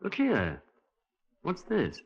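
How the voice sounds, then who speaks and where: An actor playing a commanding army major in a 1950s radio crime drama, authoritative and blunt. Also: male voice, sits high, huge pitch swings, drawn-out words, gravelly, exaggerated voice. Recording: dull lo-fi 1950s radio, somewhat distant.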